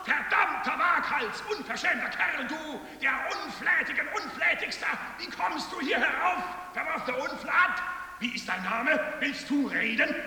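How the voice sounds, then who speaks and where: An actor playing an outraged, haughty gatekeeper god, declaiming insults and demands in a theatrical radio-play manner. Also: male voice, very high voice, lively intonation, moderate pace, exaggerated voice. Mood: furious. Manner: declamatory, shouted insults and questions. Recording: very echoey hall, distant from mic.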